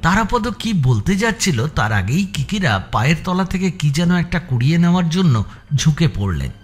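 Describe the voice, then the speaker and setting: A man narrating a scripted suspense audio story, with deliberate, descriptive phrasing. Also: fairly high pitch, very animated.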